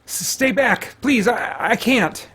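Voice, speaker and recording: Guy doing a scared timid game character, high pitched, stammering and pleading. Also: slow pace, fairly bright tone, husky, heavily acted. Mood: frightened, pleading. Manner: hesitant, stammering.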